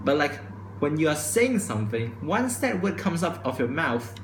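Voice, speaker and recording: A young man, a student, giving his casual opinions to a camera. He stutters and restarts his sentences, and says he talks too quickly. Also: fairly high pitch, sing-song, a little hiss or hum. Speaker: student vlogger. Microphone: camera microphone. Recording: echoey room with faint ambience.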